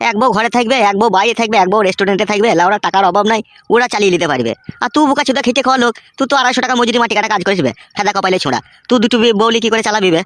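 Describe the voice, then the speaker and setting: A man's voice: high-pitched, very fast, fairly bright tone, no echo. A man voicing a blunt, mocking, foul-mouthed village friend in a comedy cartoon, lecturing coarsely.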